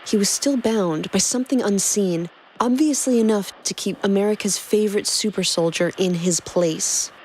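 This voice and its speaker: A woman's voice: medium pitch, normal speed, a little mellow, slight background noise, low-quality recording. A woman doing dramatic scripted narration of fan fiction as a podcast host. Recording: music clearly behind the voice.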